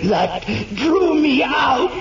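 An actor playing a tormented, rapturous man in an old radio horror monologue, theatrical and halting, repeating words in wonder. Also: extremely high pitch, sing-song, raised voice, heavily acted. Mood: awed wonder. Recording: muffled old radio recording, heavy noise.

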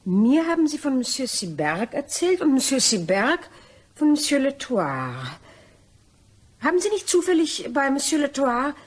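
A woman's voice: sits high, sing-song, normal speed. A woman playing a nervous, evasive mademoiselle with guilty secrets in a German crime radio play, in a jumpy, theatrical and probing way. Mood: tense questioning.

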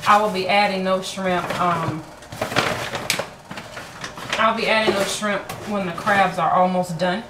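A woman's voice: medium pitch, steady tone, unhurried, long pauses, very noisy. Chatty woman cooking in her kitchen. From Florida. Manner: chatty, self-deprecating, many fillers. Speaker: vlogger. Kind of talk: casual cooking vlog. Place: home kitchen.

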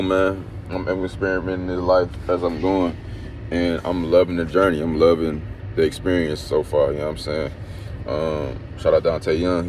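Deep-voiced young man vlogging in a car. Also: darkish tone. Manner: laid-back, rambling, slangy. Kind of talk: casual motivational vlog. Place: inside a parked car at night. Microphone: phone held at arm's length. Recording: noisy phone recording in a car.

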